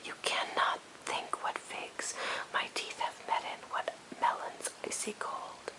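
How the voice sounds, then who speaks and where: A woman voicing a sweet-fierce, impulsive maiden from a storybook poem in a breathy, eager whisper. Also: slow pace, natural voice, poor audio. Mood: enraptured craving.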